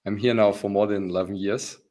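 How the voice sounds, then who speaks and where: A hoarse man hosting a business webinar, talking with many pauses, ums and restarts. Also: on the low side, fairly even intonation, dark tone. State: rough, hoarse voice. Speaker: webinar host, company founder.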